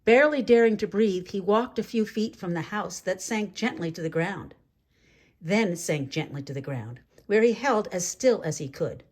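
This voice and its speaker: A woman reading a children's story aloud in an expressive, deliberate way with dramatic phrasing. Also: medium pitch. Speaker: storybook reader. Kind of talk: read-aloud children's story narration with asides.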